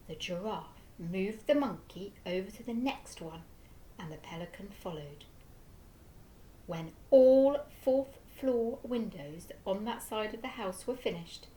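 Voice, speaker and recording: A woman teacher reading a children's story aloud with slow, expressive storytelling. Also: medium pitch, slight background noise, rough recording.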